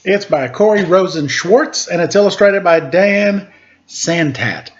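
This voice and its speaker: A man's voice: sits high, animated, a little slow. A middle-aged man chatting casually and reading stories aloud to children in a playful, jokey manner. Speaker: storytime host reading picture books. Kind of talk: casual chat and read-aloud storytelling to children. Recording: dull, lo-fi sound.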